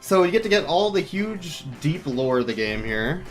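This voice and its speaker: A man's voice: a little high, big pitch swings, normal speed. Man commentating a game speedrun over music. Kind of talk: casual live gaming commentary.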